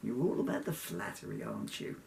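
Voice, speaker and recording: A man's voice: lowish voice, lively intonation, fairly quick, creaky, darkish tone. A man voicing a miserly, sarcastic old businessman who nitpicks and sounds unimpressed, in a comic storybook reading. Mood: dry sarcasm.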